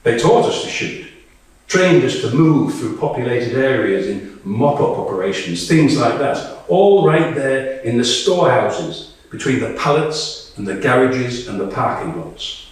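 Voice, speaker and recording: A man's voice: medium pitch, expressive, unhurried, close to an everyday voice. A man reading the part of a weary, blunt woman soldier in a dramatic monologue, wry, conversational and sometimes profane. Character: Tonya, weary, blunt female Ukrainian soldier. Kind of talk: dramatic monologue read to a live audience. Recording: very echoey room, distant microphone.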